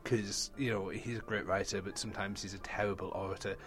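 A soft-spoken man hosting a casual book show chat, hesitant and full of fillers. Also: on the low side, steady tone, a little fast, soft background music. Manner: hesitant, filler-heavy, self-deprecating. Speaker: podcast host.

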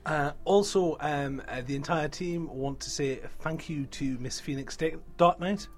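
A soft-spoken man hosting a casual book show chat, hesitant and full of fillers. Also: on the high side, measured pace, faint music behind. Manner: hesitant, filler-heavy, self-deprecating. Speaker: podcast host.

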